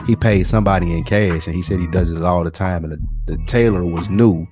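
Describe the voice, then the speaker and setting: Low-voiced American man chatting over music. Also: a little fast. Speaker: podcast co-host. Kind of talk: casual chat, slangy and profane.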